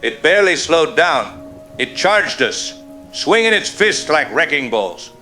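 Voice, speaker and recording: A man playing a shaken veteran Marine colonel, grave and halting, reporting from the field in an apocalyptic radio drama. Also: a little high, sing-song, pushed and bright, faint music behind, full character voice.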